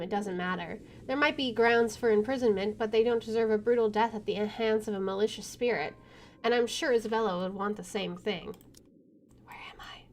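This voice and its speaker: Young woman host, game reading with quick reactions, higher voice, light background hiss. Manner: jumpy, exclaiming, chatty. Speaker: gaming channel host. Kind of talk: reading a visual novel aloud with reactions.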